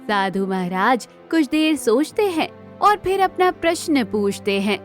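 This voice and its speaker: A woman's voice: high voice, animated, unhurried, soft background music. A woman narrating a scripted children's moral story as the storyteller.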